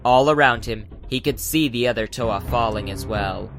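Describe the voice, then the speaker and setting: A man's voice: medium pitch, normal speed, a bit bright. Male audiobook narrator over prominent music. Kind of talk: scripted dramatic audiobook narration.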